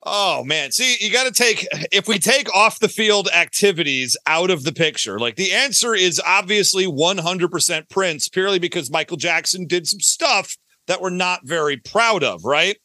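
A male podcast guest with a high, bright, forward voice. He talks casually in a playful, showman-like way full of banter, recorded dry and close. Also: lively intonation, normal speed, few pauses, dry recording. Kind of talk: casual interview chat.

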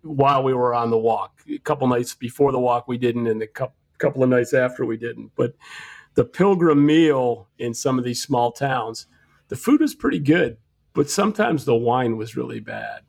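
A man's voice: medium pitch, very animated, normal speed, gravelly, darkish tone. A man co-hosting a casual podcast from home, talking in a rambling way with frequent restarts and fillers. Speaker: podcast co-host.

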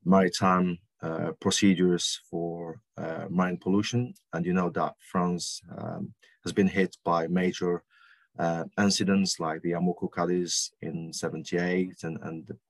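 Deep flat-voiced man with many pauses. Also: no echo. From Algeria. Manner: halting, many ums and restarts. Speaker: guest consultant. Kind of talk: podcast interview answers.

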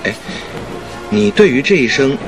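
A man's voice: on the high side, very animated, normal speed, vocal fry, over music. A man in a Chinese radio drama playing a detective's earnest, puzzled companion with a hesitant, musing delivery.

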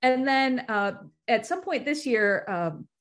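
A woman with a fairly high voice speaks as a podcast guest. She explains things in a methodical, detailed way, going step by step in an interview style. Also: animated, takes it slowly.

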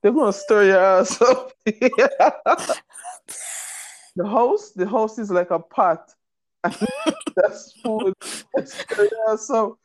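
A woman's voice: mid-range voice, takes it slowly. A woman co-hosting a casual chat, joking crudely and laughing often. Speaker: podcast co-host.

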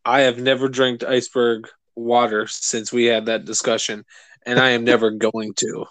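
A man co-hosting a casual podcast, rambling and animated, swearing freely. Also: mid-range voice, moderate pace, no echo. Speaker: podcast co-host. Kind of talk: casual chat.

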